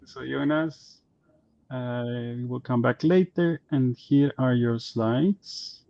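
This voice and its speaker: A man moderating an online meetup, introducing speakers in a halting way with many fillers. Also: mid-range voice, gravelly, dark tone, lo-fi sound.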